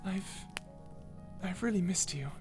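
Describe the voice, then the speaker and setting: A woman voicing a kind, dutiful guardian angel in a character audio roleplay, gentle, formal and measured. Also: mid-range voice, lively intonation, soft background music, natural voice. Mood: tender, reassuring.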